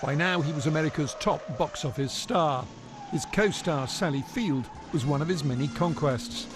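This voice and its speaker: A man's voice: a little high, normal speed, over music. A man narrating a scripted obituary report as a news correspondent.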